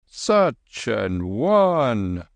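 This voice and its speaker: A man voicing an old, gentle holy man who speaks in dignified, archaic phrases. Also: medium pitch, big pitch swings, extremely slow, creaky, no room sound, exaggerated voice. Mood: solemn. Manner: solemn, archaic, dignified speech.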